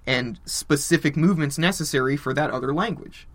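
Twenties guy going on, swearing, opinionated, voice a bit high for a man. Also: fairly quick, fairly bright tone. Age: 20s. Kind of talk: casual chat.

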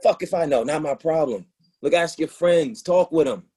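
A man acting in a play reading as a wounded, confrontational young boyfriend, with a slightly husky, halting delivery. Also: a little high, warm tone. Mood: angry, agitated.

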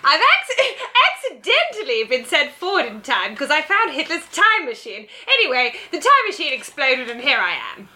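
A man voicing a giggly female spy from the 1940s in a shrill falsetto. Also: wildly swooping pitch, moderate pace, hardly any pauses, sharp tone, full character voice.

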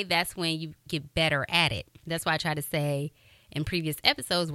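Bright, crisp woman podcast host. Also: no room sound. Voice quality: high voice. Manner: upbeat, encouraging. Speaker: podcast host and radio broadcaster. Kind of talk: motivational podcast talk.

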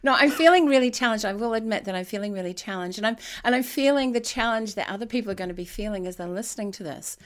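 A woman with a mid-pitched voice hosts a podcast interview. She sounds engaged and searching as she sums up ideas and asks the next question. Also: fast, hardly any pauses. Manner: engaged, searching, admits feeling challenged. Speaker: podcast host. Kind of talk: interview conversation.

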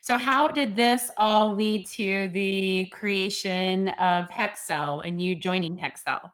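Woman, podcast host, enthusiastic, smooth clear voice, dry sound. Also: mid-range voice, slow pace, no echo. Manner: upbeat, enthusiastic. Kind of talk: podcast interview and intro.

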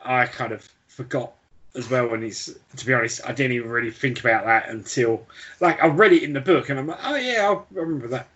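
Man with mid-pitched voice, chatting casually. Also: animated, a little fast, fairly bright tone, highs cut off. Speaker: podcast co-host. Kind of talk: casual book discussion chat.

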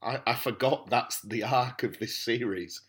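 A man on a podcast panel giving tentative, measured opinions in casual review chat. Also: medium pitch, a bit bright, breathy edge.

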